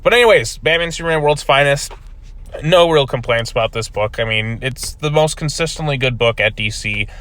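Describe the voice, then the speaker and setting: A man's voice: mid-range voice, fairly bright tone. A man giving a casual video review of a comic book, rambling with many fillers, his voice still recovering from being lost.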